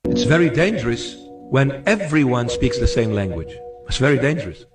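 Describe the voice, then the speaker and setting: A man from Iraq speaking in a measured, explaining way, heard in a played clip. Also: medium pitch, sing-song. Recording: distant voice over music in a played clip.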